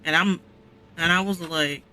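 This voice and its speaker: A man's voice: a little high, steady tone, a little slow, pushed and bright. A young man, a game streamer, chatting casually. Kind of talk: casual chat.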